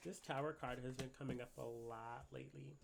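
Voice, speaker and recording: A man's voice: medium pitch, steady tone, a little fast, creaky, noisy background. Congested man giving a rambling tarot reading. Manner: rambling, filler-heavy, keeps asking "right?". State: congested from a cold. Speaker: tarot reader. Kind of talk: casual spiritual reading to camera. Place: at a desk indoors.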